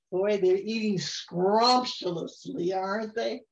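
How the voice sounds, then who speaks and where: Slow, gentle older woman reading aloud. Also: mid-range voice, highs cut off. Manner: gentle, unhurried, chuckling often. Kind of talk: reading a rhyming picture book aloud.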